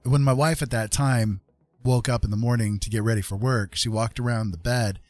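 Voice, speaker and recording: A man hosting a livestream, casually telling stories in an animated way with drawn-out dramatic phrasing. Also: mid-range voice, no echo. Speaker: livestream host. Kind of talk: casual storytelling. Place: indoor livestream with music behind.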